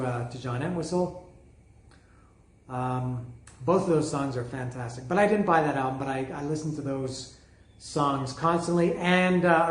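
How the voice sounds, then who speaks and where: A man reviewing albums in a casual video, rambling with lots of fillers and restarts, his voice going hoarse. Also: on the high side, echoey room. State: losing his voice. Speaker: music vlogger reviewing albums. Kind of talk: casual solo video talk. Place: home room with bookshelf below camera. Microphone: camera setup mic.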